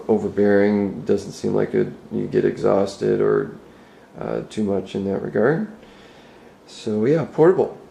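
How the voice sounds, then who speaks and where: A man giving an unscripted, explanatory video tour in a halting, hesitant, plain-spoken way. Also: medium pitch, vocal fry, dark tone. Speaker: amateur product demonstrator. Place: indoor room with bare walls. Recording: roomy indoor echo.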